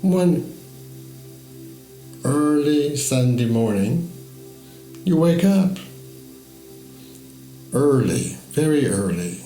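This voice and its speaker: A man narrates a guided meditation as a story, in a measured, deliberate manner with pauses between phrases. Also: a little high, very animated, mellow. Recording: echoey voice over music. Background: music.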